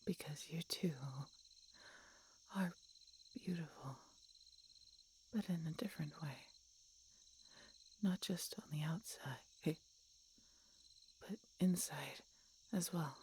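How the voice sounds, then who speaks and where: A woman voicing a tender, regretful, dying she-dragon in an audio roleplay, breathy and whispery, speaking haltingly with weak, labored breath. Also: deep voice, darkish tone, slight background noise. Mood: wistful, adoring. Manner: slow, halting, labored speech with pauses and gasps. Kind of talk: audio roleplay, intimate dramatic monologue.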